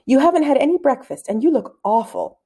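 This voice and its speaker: Woman reading as a fretful, mellow mother. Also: sits high, expressive, a little fast, slightly rough, pitched above the performer's own voice. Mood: worried. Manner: fretful, concerned. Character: worried, doubtful mother of a schoolboy.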